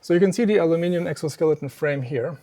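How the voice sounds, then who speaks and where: A man's voice: on the high side, quick pace, mellow. Man explaining technical gear in an interview. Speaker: loudspeaker company representative explaining a product. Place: exhibit room at an audio trade show.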